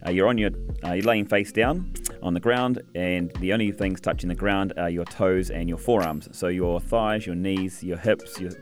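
A man with a New Zealand accent, a fitness trainer chatting casually as a radio guest, relaxed and quick to laugh. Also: low-pitched, soft background music. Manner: relaxed, chatty, laughs easily, many fillers.

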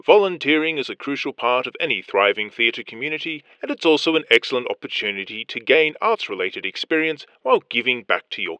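A man's voice: mid-range voice, sharp tone, dry recording, muffled recording. A man reading a scripted community announcement as an announcer.

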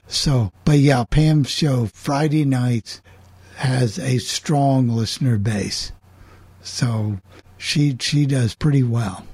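A man hosting a casual radio panel discussion, talking in a halting, repetitive way. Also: medium pitch, a little mellow, husky, dry recording. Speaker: host of an online radio coffee club show.

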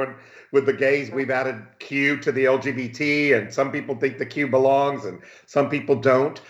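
An enthusiastic male talk show host, effusive in his praise and prone to tagging sentences with "right?". His voice sits mid-range, and the audio is lo-fi with a noticeable room echo. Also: medium pitch. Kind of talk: hosting an interview show. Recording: dull lo-fi audio in an echoey room.

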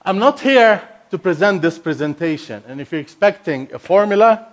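An energetic, playful man with an Egyptian accent delivers a sermon as a guest speaker. His first language is Arabic, his voice sits a little high for a man, and he punches key words with sudden emphasis. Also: moderate pace.

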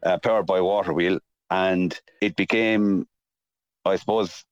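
A man's voice: deep voice, fairly even intonation, a little slow, lo-fi sound, faint music behind. An Irish-accented man from County Kilkenny, recounting local history as a guest in a spoken interview. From County Kilkenny, Ireland. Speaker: interviewee, mill restorer.

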